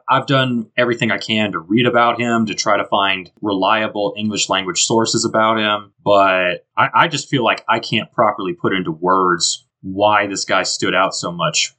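An enthusiastic male podcast host chats informally with his guest. His voice is low, yet it has a bright, forceful ring. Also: normal speed, few pauses, rough recording. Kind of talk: casual podcast chat.

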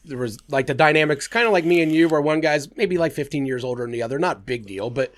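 A man's voice: fairly high pitch, quick pace. A man hosting a podcast, bantering casually in a crude, joking way. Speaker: podcast host.

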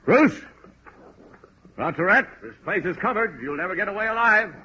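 Gruff American city detective, hard-edged and animated, vintage radio drama on a muffled recording. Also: male voice, high-pitched, normal speed, slight background noise. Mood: shouted warning. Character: gruff, blunt male city police detective.

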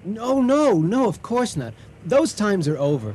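A man's voice: high voice, very animated, a little slow, darkish tone, slight background noise. An actor playing an earnest, kindly computer repairman in a comic radio drama, polite and reassuring.

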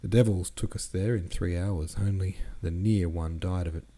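A man's voice: sits low, fairly even intonation, moderate pace, dark tone. A man voicing a cheeky, deferential peasant coach driver in his twenties from a novel, boastful in his storytelling. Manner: respectful address, boastful storytelling.

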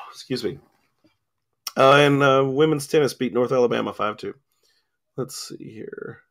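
Gravelly man rambling through sports scores. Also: mid-range voice. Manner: rambling, lots of ums and filler. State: losing his voice, coughing. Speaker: sports talk host. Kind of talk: casual sports radio chat. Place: radio studio. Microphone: studio mic with cough button.

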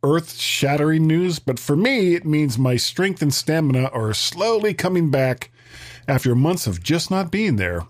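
A man with a scratchy, strained voice chatting casually as a podcast co-host. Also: on the high side.

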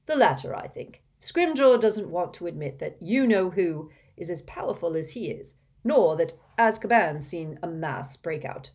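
A woman's voice: lowish voice, expressive, normal speed, hardly any pauses, a little mellow. A woman doing an earnest wizard father in a storybook. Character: worried middle-aged wizard father, firm.

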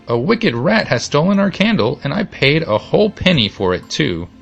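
A man voicing a stubborn, excitable boy in a storybook, speaking emphatically as an indignant big brother. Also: mid-range voice, brisk, lo-fi sound, soft background music, pitched above the performer's own voice. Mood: dismayed. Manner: emphatic, exclaiming.

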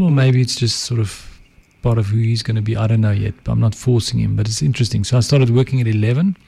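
A middle-aged man from South Africa speaks with an Afrikaans accent and a gravelly, fry-tinged voice. He talks in a measured, reflective way, often letting his sentences drop away quietly. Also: mid-range voice. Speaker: guest, advertising entrepreneur and author. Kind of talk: reflective interview talk.

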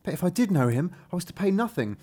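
Male reader as fretful storybook father, a peasant, earnest, pitch lifted. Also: very animated, fast, mellow, slightly rough, exaggerated voice. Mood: worried. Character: anxious peasant father in a fairy tale.

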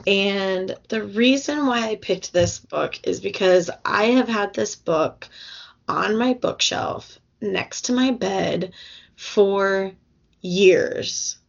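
Slow-talking woman with a gravelly, fraying voice. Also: mid-range voice, dull audio. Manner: rambling, many fillers and restarts. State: losing her voice. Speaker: podcast co-host. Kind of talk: casual book podcast chat.

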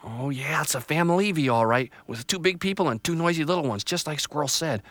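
Storybook sapling girl, high and fretful, wide swoops, man reading. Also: expressive, fast talker, a bit bright, no echo. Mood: nervous. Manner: anxious, hopeful, wondering aloud. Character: timid young female sapling tree.

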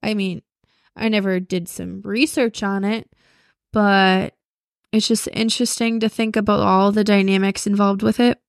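A woman's voice: medium pitch, little pitch movement, a little slow, creaky, no echo, clean studio sound. Chatty young woman podcast co-host. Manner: chatty, lots of "like". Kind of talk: casual chat.

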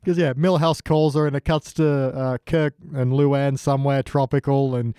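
A man chatting casually as a podcast guest, wry and deadpan. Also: medium pitch, normal speed, clean tone, no room sound, clean studio sound. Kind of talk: casual comedy review chat.

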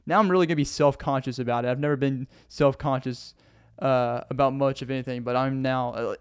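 A man's voice: mid-range voice, clean tone, no room sound, studio quality. A young man from Arkansas with a Southern accent, hosting a casual solo podcast in a rambling, earnest way and laughing often, while sitting on the floor indoors. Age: young adult. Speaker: podcast host.

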